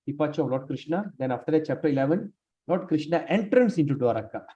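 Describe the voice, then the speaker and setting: A man leading a scripture class, speaking haltingly with many fillers and restarts while he has lost his voice. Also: a little high, lively intonation, mellow, husky. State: lost his voice, sprained neck. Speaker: class leader. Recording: dull lo-fi room recording.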